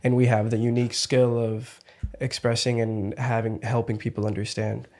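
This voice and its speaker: A man hosting a podcast, talking in a relaxed, reflective way and murmuring agreement while his guest speaks. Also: mid-range voice, moderate pace. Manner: reflective, easygoing, frequent backchannel murmurs. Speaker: podcast host. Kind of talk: casual conversation.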